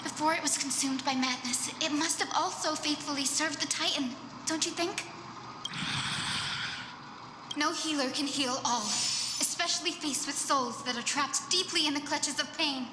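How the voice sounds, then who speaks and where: A gentle young female healer from an anime-style game, played by a woman. Her voice is high, soft and earnest, with polite, compassionate phrasing. It rings in a reverberant hall. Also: normal speed, background noise, natural voice. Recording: echoey hall reverb, music behind.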